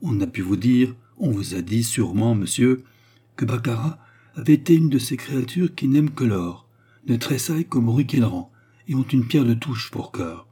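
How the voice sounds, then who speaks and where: Man voicing a solemn, husky repentant courtesan. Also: mid-range voice, normal speed, dark tone, voice pushed up. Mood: mysterious, earnest. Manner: formal, declamatory, emphatic. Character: repentant former courtesan, woman, solemn, secretive, commanding. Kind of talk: dramatic storybook dialogue.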